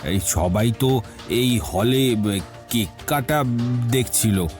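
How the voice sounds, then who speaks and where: A nervous older male servant, really slow talker, stammery and pleading, music behind him. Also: mid-range voice, expressive. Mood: nervous, evasive. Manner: halting, stammering, deferential. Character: anxious, pleading older male household servant under questioning. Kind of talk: audio detective story acting.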